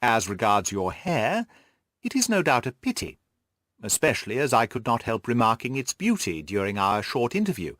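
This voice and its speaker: Man reading as a courteous, animated country gentleman. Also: medium pitch, normal speed, dry recording, clean studio sound. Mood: courteous, persuasive. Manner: courteous, formal. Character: polite, persuasive country gentleman in a letter.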